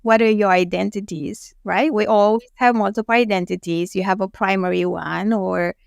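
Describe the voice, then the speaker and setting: A woman from Romania, a guest in a casual interview, speaking in a calm, reflective and measured way. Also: medium pitch, vocal fry, no room sound, clean studio sound.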